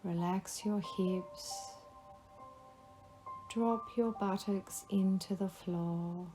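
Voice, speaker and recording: A woman guiding a relaxation meditation, giving slow, soothing instructions. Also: mid-range voice, fairly even intonation, poor audio. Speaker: meditation guide. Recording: faint music behind the voice.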